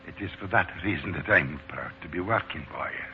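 An actor playing a devoted, solemnly deferential manservant, formal and earnest, in 1940s radio style. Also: male voice, sits low, brisk, close to an everyday voice. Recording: muffled, noisy 1940s radio recording.

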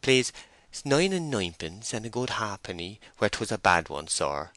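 A man's voice: on the low side, big pitch swings, normal speed, a bit bright, no echo. A man voicing a timid, muddled farm labourer with a West Country accent and a hesitant, apologetic manner, in an audiobook story. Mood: nervous, humble. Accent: English West Country.

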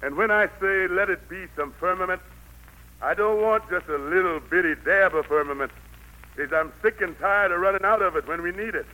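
A man's voice: sits high, a little fast, pushed and bright. An actor playing God as a genial, fatherly country patriarch with a Southern US accent and a folksy, commanding manner in an old radio play. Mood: folksy, fussy. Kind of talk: 1940s radio play acting. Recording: noisy old radio recording.

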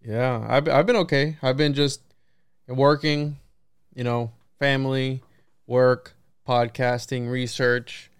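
A man's voice: medium pitch, flat delivery, takes it slowly, clean tone, no room sound, studio quality. A man hosting a podcast, talking casually to his listeners and guest. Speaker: podcast host.

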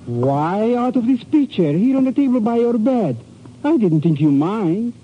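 An actor playing an excitable, hapless comic sidekick who stammers and complains in 1950s radio style. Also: male voice, high-pitched, a little slow, phone-quality sound. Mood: grumbling, chatty. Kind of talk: 1950s radio comedy-drama acting. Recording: thin, noisy old radio recording.

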